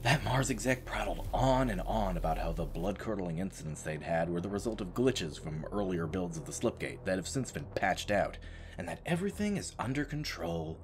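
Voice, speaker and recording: A man's voice: sits low, animated, normal speed, slight background noise. A man narrating an audio drama as a storyteller, with a wry, informal turn of phrase.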